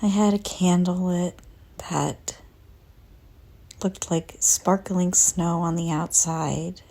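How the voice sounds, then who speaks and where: Female meditation narrator, slightly low and mellow, breathy near-whisper delivery, gentle, unhurried scripted read. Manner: calm, gentle, unhurried with long pauses. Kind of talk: slow guided visualization, soothing scripted narration.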